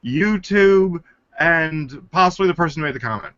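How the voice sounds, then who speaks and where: A man's voice: a little high, animated, normal speed, fairly bright tone, no echo. A man co-hosting a casual live stream chat, throwing in dry jokey asides. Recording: dry lo-fi online call audio.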